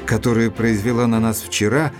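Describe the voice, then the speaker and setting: An actor playing a thoughtful gentleman investigator, narrating a mystery in a measured, deliberate literary style. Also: mid-range voice, fairly even intonation, music in the background, lightly acted. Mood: measured, suspicious. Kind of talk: dramatized first-person literary narration.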